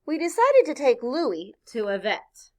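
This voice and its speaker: A woman reading a children's story aloud expressively, pausing to chat with her listeners. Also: high-pitched. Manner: expressive, pauses to point things out and ask questions. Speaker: storybook reader.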